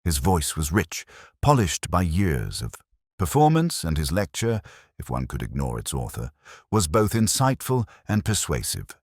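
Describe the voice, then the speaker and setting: Audiobook narrator, male, extremely low register, measured and formal, animated intonation, dry close sound. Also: no echo. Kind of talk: scripted first-person detective story narration.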